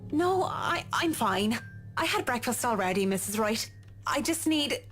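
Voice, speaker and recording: Hesitant, polite Marianne, swooping voice. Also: female voice, fairly high pitch, very animated, measured pace, a bit bright, soft background music. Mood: flustered, apologetic. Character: polite, jittery young working woman, Marianne. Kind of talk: visual novel game voice acting.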